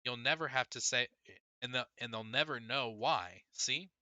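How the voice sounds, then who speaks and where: Exasperated teen big sister, read by a male narrator: emphatic, pleading, argumentative, very bright tone, close dry sound. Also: mid-range voice, normal speed, no room sound, lo-fi sound. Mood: coaxing. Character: exasperated, insistent teenage girl, an older sister. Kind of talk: audiobook character dialogue.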